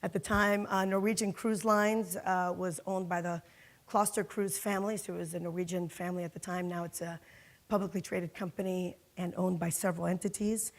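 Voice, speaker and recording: A woman's voice: lowish voice, a little fast. A middle-aged woman from Miami speaking on a conference panel, telling her career story with lots of fillers. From Miami, Florida. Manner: lively storytelling with frequent fillers and laughs. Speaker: panelist, corporate security executive. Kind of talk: panel talk. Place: conference hall.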